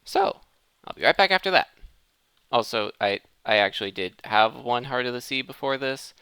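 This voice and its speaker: A man's voice: medium pitch, very animated, normal speed, pushed and bright, clear, resonant tone, no room sound. A man hosting a gaming video in casual commentary, thinking aloud and correcting himself as he goes. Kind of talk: casual gameplay commentary of modded Minecraft.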